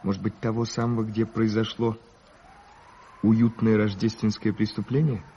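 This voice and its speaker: A curious, courteous gentleman, played by a male actor speaks in a vintage radio play. His voice is somewhat low and gently playful. The recording is muffled and a little noisy. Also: flat delivery, moderate pace, lightly acted. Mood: musing. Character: curious, gently teasing gentleman listening to a tale. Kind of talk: 1980s Soviet radio drama acting.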